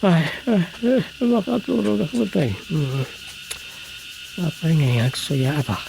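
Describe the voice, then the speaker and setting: Drowsy comic Ilocano village secretary, gravelly, muttering, radio drama comedy. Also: male voice, on the high side, big pitch swings, a little slow, slight background noise, full character voice, poor audio. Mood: sleepy, muttering.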